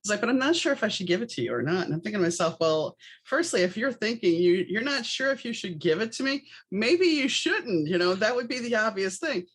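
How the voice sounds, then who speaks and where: A woman's voice: medium pitch, sing-song, fairly quick, slightly rough. Chatty woman hosting a podcast. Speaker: podcast host. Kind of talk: conversational podcast interview.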